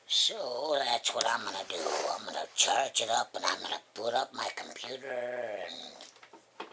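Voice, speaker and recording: A vlogger with a very husky, breathy, gravelly voice that often drops near a whisper, chatting casually and rambling along at home. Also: mid-range voice, measured pace, noisy background. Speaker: vlogger, host of the channel. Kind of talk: casual unboxing vlog chat. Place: indoors at home.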